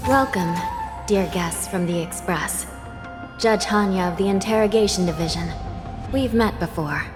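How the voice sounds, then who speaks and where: A woman's voice: mid-range voice, moderate pace, natural voice. An actress voicing a solemn young female judge of the underworld in a video game, polite and formal, with wistful, ominous delivery. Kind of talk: scripted video game dialogue. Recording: long hall reverb, music behind.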